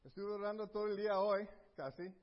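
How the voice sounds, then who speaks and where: A man's voice: sits high, a little fast. A middle-aged man from Texas who grew up speaking there, preaching a sermon with English fillers slipped into his speech. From Texas, USA. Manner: mixes English fillers into Spanish, warm and earnest. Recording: dull lo-fi audio with long hall reverb.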